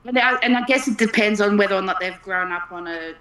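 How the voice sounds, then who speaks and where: A woman's voice: mid-range voice, fast talker, fairly bright tone, poor audio. A woman from Melbourne with an Australian accent, chatting casually and thinking aloud as she explains things. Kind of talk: casual chat.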